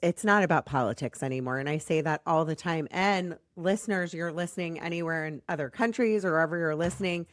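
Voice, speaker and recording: An American woman hosting a podcast in a chatty, rambling, self-deprecating way, her voice slightly raspy as it recovers from being lost. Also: low-pitched, brisk, hardly any pauses, no echo, studio quality. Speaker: podcast host.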